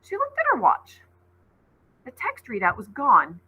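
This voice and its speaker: A woman teacher reads a novel aloud with a bright, forward tone and a husky, breathy edge. Her delivery is expressive, lifting sharply in pitch whenever a character calls out. It has the feel of a classroom storytime reading. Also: a little slow, rough recording. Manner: expressive read-aloud, voices rising high on called names.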